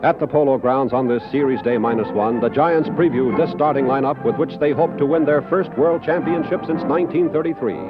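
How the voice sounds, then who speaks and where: A man's voice: mid-range voice, a little fast, hardly any pauses. An American man reading scripted 1950s newsreel narration as an off-screen narrator. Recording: muffled 1950s broadcast over music. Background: music.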